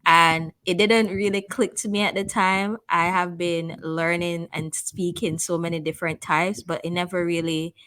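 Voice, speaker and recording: A woman's voice: fairly low pitch, little pitch movement, moderate pace, hardly any pauses, fairly bright tone, clear, resonant tone. A woman host with a Jamaican accent, chatting casually and enthusiastically, laughing often. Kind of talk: casual interview chat.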